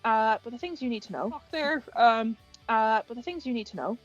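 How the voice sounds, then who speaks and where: An Irish woman in casual, animated chat with a friend, exclaiming and swearing mildly. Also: on the high side, brisk, no room sound. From Ireland. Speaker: host of a fan chat, who edits it. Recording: muffled lo-fi audio with music behind. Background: music.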